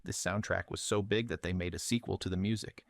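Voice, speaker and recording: A man's voice: low voice, fairly even intonation, brisk, gravelly, dry recording, clean studio sound. A middle-aged man hosting a casual show-and-tell vlog, narrating in an informal way. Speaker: video host.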